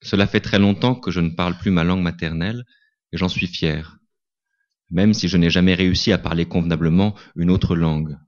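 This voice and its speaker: Very deep-voiced man reading calmly. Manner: calm, deliberate reflective reading. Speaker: reader at a literary tribute. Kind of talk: first-person literary reading. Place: small cinema salon. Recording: dull lo-fi event recording.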